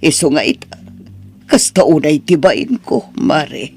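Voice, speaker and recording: A woman with an Ilocano Filipino accent playing a remorseful middle-aged aunt in an audio drama, pleading in a halting, emphatic way. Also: low-pitched, very animated, darkish tone, husky, full character voice. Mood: ashamed. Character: remorseful older aunt who once belittled her niece.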